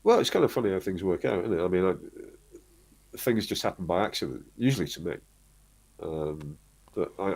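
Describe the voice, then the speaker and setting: A very deep-voiced, husky middle-aged man from Manchester. He rambles through his stories with false starts and chuckles. Faint music plays behind him. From Manchester, England. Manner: rambling storyteller, restarts often, chuckles. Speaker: guest, band singer. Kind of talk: casual interview chat.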